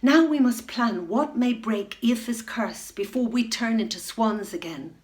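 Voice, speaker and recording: A woman voicing an earnest young enchanted maiden in a storybook, speaking slowly and steadily. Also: fairly high pitch, lightly acted. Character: young woman turned swan, earnest and brave.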